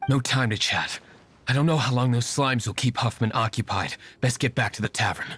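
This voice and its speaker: A man's voice: medium pitch, brisk, a little hiss or hum, natural voice. A man voicing an aloof, stern young nobleman in a video game, speaking formally and curtly with dry wit. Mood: brisk, serious. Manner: curt, deadpan, formal.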